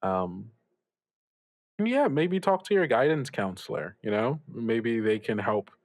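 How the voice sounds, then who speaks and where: A man playing a reassuring older radio mentor in an improvised audio drama, giving gentle advice in a halting, rambling way. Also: medium pitch, lively intonation, no room sound. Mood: encouraging. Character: reassuring older male radio mentor, upbeat, evasive about troubles. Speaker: student radio show host. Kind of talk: improvised actual-play audio drama, conversational.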